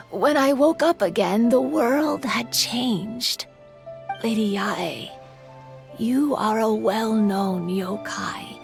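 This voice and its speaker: An old yokai spirit in girlish form for a video game, earnest and wistful. The delivery is very measured and hesitant, with a noticeable creak, over soft music. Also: fairly high pitch.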